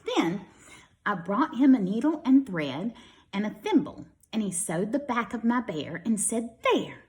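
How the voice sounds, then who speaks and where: Kindergarten teacher type: woman, very lively pitch, expressive storybook reading with chatty asides. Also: unhurried. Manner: expressive, speaking to young children. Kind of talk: animated storybook read-aloud with casual asides.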